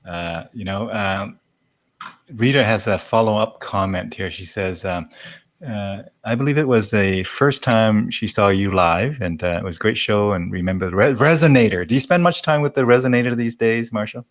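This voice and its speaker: A man hosting a casual live interview, speaking in halting, measured phrases. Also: on the low side. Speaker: talk show host.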